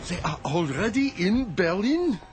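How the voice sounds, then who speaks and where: An actor playing an exhausted, despairing German army officer in a radio drama, grave and urgent. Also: male voice, high-pitched, very animated, measured pace. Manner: grave, doom-laden, urgent.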